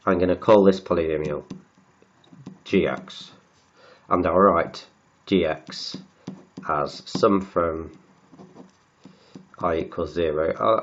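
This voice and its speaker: A man with a cold, croaky and sniffly, working slowly through textbook maths and often repeating words as he writes. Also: sits low, highs cut off, a little hiss or hum. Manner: halting, repeats words while writing. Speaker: maths tutor.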